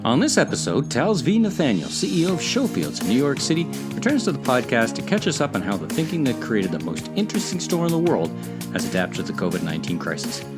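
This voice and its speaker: A man's voice: mid-range voice, huge pitch swings, fast talker. A man hosting a podcast, reading a scripted introduction and then asking long winding questions that he corrects as he goes. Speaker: podcast host. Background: music under the intro.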